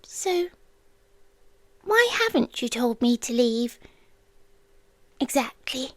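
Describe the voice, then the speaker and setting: A British woman playing an ancient, possessive, inhuman stalker in an intimate ASMR roleplay, speaking in a hushed, deliberate, teasing way that slips into whispers. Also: fairly high pitch, big pitch swings, dry recording, full character voice. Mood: teasing, curious.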